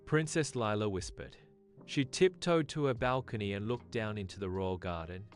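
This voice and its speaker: A woman's voice: a bass voice, moderate pace, faint music behind. A woman narrating a scripted bedtime story for children.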